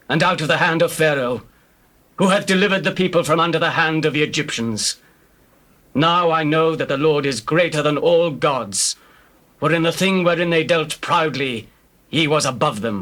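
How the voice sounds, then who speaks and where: An actor playing a wise, elderly biblical father-in-law in a solemn, measured scripture drama. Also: on the high side, fairly bright tone, natural voice. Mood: reverent praise. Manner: solemn, measured, counselling delivery. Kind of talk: dramatized King James scripture acting.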